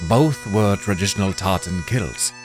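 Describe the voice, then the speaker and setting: Deep-voiced male storyteller. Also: unhurried, music in the background. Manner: expressive, hushed in tense moments. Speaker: narrator. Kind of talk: scripted storybook narration.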